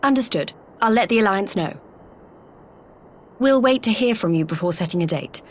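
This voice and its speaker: An actress playing an earnest, friendly young envoy in a video game, speaking politely and encouragingly. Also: female voice, medium pitch, expressive, normal speed, close to an everyday voice. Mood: warm, businesslike. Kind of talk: video game cutscene voice acting.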